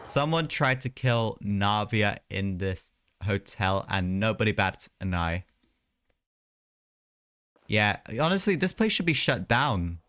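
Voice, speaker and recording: Low-voiced man chatting over a game stream. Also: big pitch swings, takes it slowly, fairly bright tone, no room sound, clean studio sound. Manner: chatty, self-mocking, rambling asides. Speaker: livestreamer. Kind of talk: casual gameplay commentary. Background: game music.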